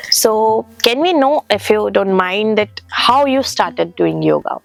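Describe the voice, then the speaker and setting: A woman's voice: medium pitch, expressive, slow pace. A woman interviewing a yoga expert, asking questions and backing her up with frequent short acknowledgements. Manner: encouraging, frequent short "okay" and "yeah" acknowledgements.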